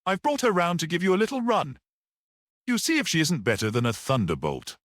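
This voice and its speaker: A man's voice: fairly high pitch, very animated, fairly quick, a bit bright, dry recording, clean studio sound, voice pushed up. A man voicing a brash, boastful young motorist in an audiobook, exclaiming and insisting eagerly.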